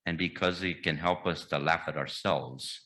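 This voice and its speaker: A man leading a church membership welcome, reading the liturgy in a measured, formal way. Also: very deep voice, pushed and bright. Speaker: membership committee leader. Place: church sanctuary.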